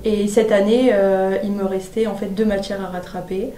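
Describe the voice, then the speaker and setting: A young woman of eighteen, a civic service volunteer, tells her story with frequent pauses and fillers. Her voice is soft and warm. She is recorded from afar in a roomy space with faint background noise. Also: mid-range voice, level tone, far from the mic. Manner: hesitant, many fillers. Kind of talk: personal testimonial.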